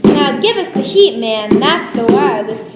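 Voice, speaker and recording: A woman's voice: high-pitched, animated, measured pace, heavy background noise. A woman playing a cool, slangy young hipster in a jazzy radio commercial. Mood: excited. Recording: roomy, with loud music. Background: loud music.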